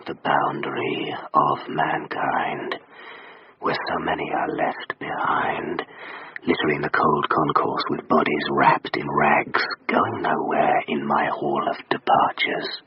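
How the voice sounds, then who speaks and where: An actor playing a railway station as an ominous spirit, narrating poetically in a slow, solemn, hushed manner for radio drama. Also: male voice, extremely low pitch, little pitch movement, in a whisper. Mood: brooding. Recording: muffled radio recording, faint noise.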